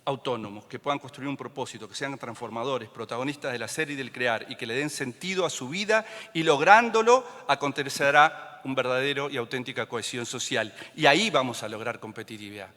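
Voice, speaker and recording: A man's voice: medium pitch, brisk, hardly any pauses, bright, forceful tone. A man from Argentina giving an impassioned conference talk, fervently listing ideas with rising emphasis. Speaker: conference speaker. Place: large theater stage. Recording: long hall reverb from a theater.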